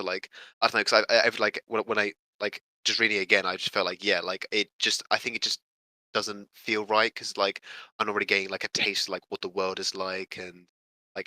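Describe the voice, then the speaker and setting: Male participant, lower pitch, close dry sound, casual and rambling feedback, unsure phrasing full of fillers. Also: pushed and bright, no room sound, rough recording. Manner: hesitant, rambling, heavy use of "like". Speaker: participant giving script critique.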